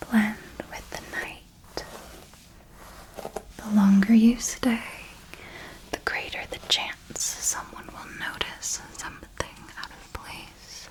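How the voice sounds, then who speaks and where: A woman's voice: fairly high pitch, flat delivery, slow pace, long pauses, darkish tone, poor audio. A woman voicing an ancient, serenely ruthless and darkly tender female vampire mentor in an ASMR roleplay, speaking formally and coaxingly in a whisper. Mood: patient, instructive.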